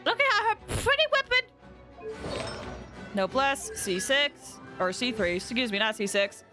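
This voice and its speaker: A woman game streamer giving casual live commentary in an excitable, expressive, giggly way. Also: high voice, a little slow, soft background music.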